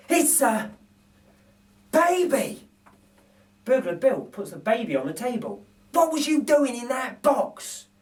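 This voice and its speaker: A man reading a children's storybook aloud, with deliberate, dramatic phrasing. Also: on the high side, a little mellow. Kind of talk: expressive children's story narration. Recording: distant microphone.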